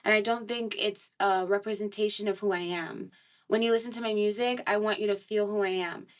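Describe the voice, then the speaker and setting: A woman's voice: medium pitch, fairly even intonation, brisk. A young woman from Miami talks casually in a radio studio, guesting as a singer. Her speech is chatty and meandering, full of fillers, with frequent light laughs. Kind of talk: casual conversational interview.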